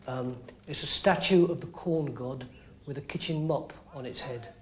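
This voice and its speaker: Woman doing gruff muffled soldiers. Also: rumbling low, moderate pace, voice pushed down. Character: gruff masked male soldiers, blunt. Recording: music playing behind.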